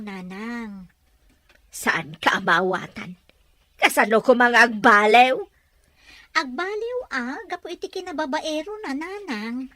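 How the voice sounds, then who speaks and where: A woman playing a caring young wife and daughter who speaks in a lively, brisk way in an audio drama. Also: a little high, no room sound.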